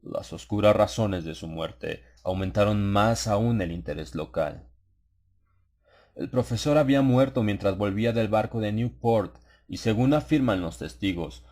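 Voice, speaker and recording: A man reading a horror story as an audiobook narrator, in a measured, solemn, dramatized literary delivery. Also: sits low, darkish tone.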